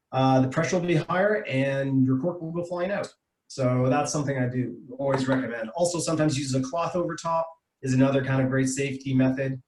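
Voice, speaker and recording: A man's voice: medium pitch, darkish tone. A middle-aged man with a Canadian accent gives an informal, explanatory presentation as a wine importer, speaking conversationally with some hesitation over a video call. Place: indoor room, online video meeting. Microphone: video call microphone. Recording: echoey room on a video call.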